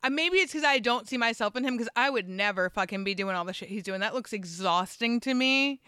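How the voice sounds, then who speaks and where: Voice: medium pitch, animated, a little fast, bright, forceful tone, smooth, no echo, studio quality. A co-host in casual chat, enthusiastic and swearing a lot, with many fillers.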